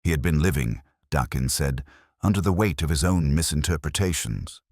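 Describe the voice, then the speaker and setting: A man narrating a scripted first-person detective story in a measured, literary, brooding way. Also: a bass voice, animated, a little mellow, no room sound, studio quality.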